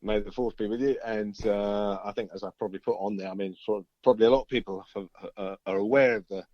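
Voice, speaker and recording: Low-voiced British man on a dull link. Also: fairly even intonation, fast talker, no room sound. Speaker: band musician being interviewed. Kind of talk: casual chat.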